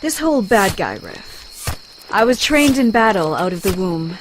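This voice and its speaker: Haughty cartoon warrior woman, played by an actress, blunt, sardonic, mid-range voice over clear background noise. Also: mid-range voice, very animated, a little slow. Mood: haughty, probing. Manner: haughty, dry, formal. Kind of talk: animated comic adventure acting.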